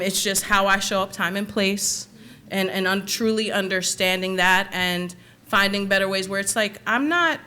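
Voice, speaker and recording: A woman's voice: fairly low pitch, bright, forceful tone, clear, resonant tone. A woman panelist answering an audience question in a candid, reflective way, laughing now and then.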